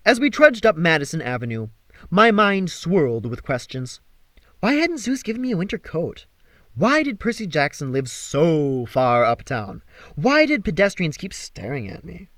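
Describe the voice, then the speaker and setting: A man narrates a young-adult novel with dramatic expression. His voice is slightly high and very lively in pitch, and the recording is dry and close. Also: normal speed, no echo. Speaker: amateur audiobook reader.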